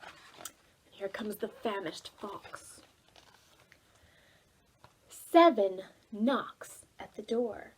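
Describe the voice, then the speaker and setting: A woman leading preschool storytime, reading aloud in an expressive, playful way and speaking directly to young children. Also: medium pitch, drawn-out words, pauses often, slight background noise.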